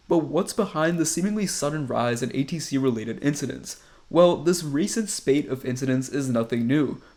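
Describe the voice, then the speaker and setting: A man's voice: on the high side, darkish tone. Man narrating an aviation explainer. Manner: measured, informative delivery. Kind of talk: scripted narration.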